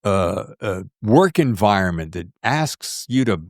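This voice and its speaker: Animated male podcast host, dry close sound. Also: on the low side, measured pace, no room sound. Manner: informal, jokey, salty-tongued, prone to tangents. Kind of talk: casual interview chat.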